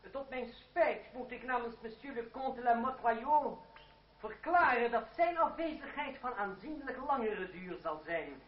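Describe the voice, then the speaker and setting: High-voiced, sardonic young duellist. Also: male voice, breathy edge, heavily acted. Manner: theatrical, sardonic, mock-courteous. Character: mocking, razor-tongued young French revolutionary lawyer and duellist. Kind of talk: period radio drama acting. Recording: dull, lo-fi old radio play, crowd behind.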